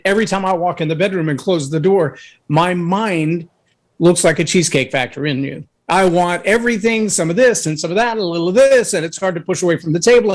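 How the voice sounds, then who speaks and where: A man guesting on a talk show, telling a personal story in an earnest, anecdotal way, with a voice that can boom when he raises it. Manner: earnest, anecdotal, acts out others' words. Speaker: guest speaker.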